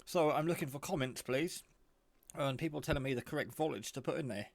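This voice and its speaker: Man, low-voiced, casual and rambling. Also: brisk, gravelly, dry recording. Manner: rambling, informal. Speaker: radio hobbyist showing a handset. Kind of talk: casual product show-and-tell.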